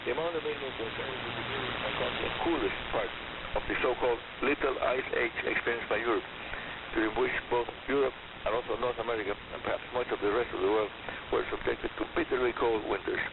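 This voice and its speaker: Gravelly man on hissy shortwave radio. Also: medium pitch, steady tone, a little fast, narrow, tinny audio. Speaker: radio host. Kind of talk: scripted radio program narration on technical hobby topics. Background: radio static and hiss.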